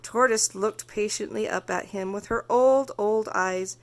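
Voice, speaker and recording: A woman reading a children's story aloud as narrator, expressive and carefully phrased. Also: medium pitch, measured pace. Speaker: storybook reader.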